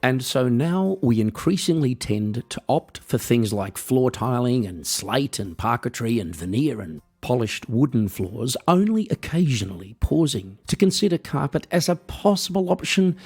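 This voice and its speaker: An Australian man hosting a podcast, delivering a wry, rambling, mock-earnest comedic monologue with a husky voice. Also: lowish voice, darkish tone. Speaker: podcast host.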